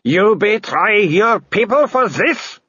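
A man voicing an imperious older aristocratic werewolf woman with a shrill, drawn-out delivery. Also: expressive, pushed and bright, husky, muffled recording, heavily acted. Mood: scornful. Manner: shrill, drawn-out, screaming in rage.

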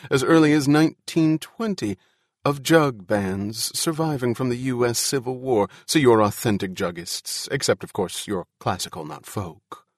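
A man's voice: medium pitch, expressive, unhurried, hardly any pauses, husky, no echo, studio quality. Man voicing bubbly, officious little official, lilting. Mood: genial, officious.

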